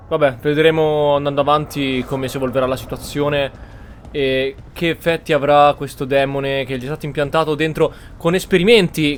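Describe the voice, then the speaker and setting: A man's voice: medium pitch, a little hiss or hum. A man giving casual gameplay commentary as a roleplaying streamer, musing and thinking aloud. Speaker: game streamer.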